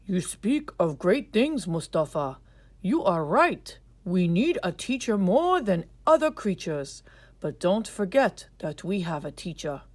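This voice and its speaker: Woman reading as a wise storybook father, expressive delivery. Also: fairly low pitch, unhurried, dry recording. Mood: approving. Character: wise, kindly father gently teaching his son.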